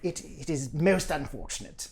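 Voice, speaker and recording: A woman's voice: low-pitched, normal speed, darkish tone, slight background noise, pitched below the performer's own voice. A woman voicing a formal, deferential gnome chancellor with stiffly courteous manners in a tabletop game. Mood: solemn. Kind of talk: in-character tabletop roleplay.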